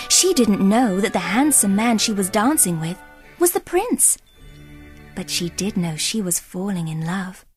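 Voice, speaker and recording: A woman narrating a scripted children's story in an expressive, dramatic way. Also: fairly high pitch.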